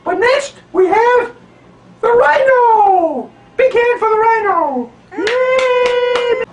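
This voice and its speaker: A man's voice: very high voice, expressive, extremely slow, recorded from a distance, heavy background noise, voice pushed up, heavily acted. A man voicing a cocky, boastful male monkey puppet in a home puppet show, bossing the audience around. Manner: bossy, boastful, sings silly songs. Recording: roomy home video from afar.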